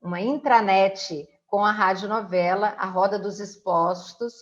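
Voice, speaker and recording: A middle-aged woman from the of Rio de hosts a conversational interview from home, often halting to search for words. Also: medium pitch, fairly even intonation, muffled recording. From Brazil. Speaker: interviewer and host. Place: indoors at home.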